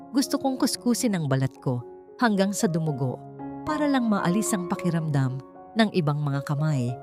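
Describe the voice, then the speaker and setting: A woman narrating a dramatic first-person confession in a solemn, anguished manner. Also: lowish voice. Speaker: story narrator.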